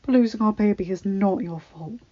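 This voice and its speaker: A woman's voice: mid-range voice, a little fast, warm tone, muffled recording, natural voice. A woman voicing a devoted young male hero, an earnest and protective husband, in an emotional story reading. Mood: consoling.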